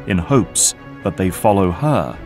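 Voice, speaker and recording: A man's voice: deep voice, animated, measured pace, darkish tone. A man reading scripted narration for an audio drama. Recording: prominent music under narration. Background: music.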